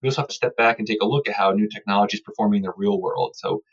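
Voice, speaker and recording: Male expert guest, articulate and technical, brisk delivery, slightly dull lo-fi audio. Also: on the low side, gravelly, husky. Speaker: surgeon-researcher guest. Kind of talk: explanatory research interview, technical.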